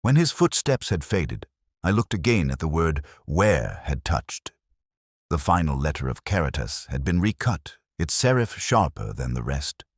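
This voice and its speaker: A man playing a melancholy police detective, narrating a mystery in a measured, literary, reflective way. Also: very deep voice, sing-song, no echo, studio quality, full character voice. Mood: quietly uneasy. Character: brooding, melancholy male police detective narrating his case. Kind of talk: scripted first-person mystery narration.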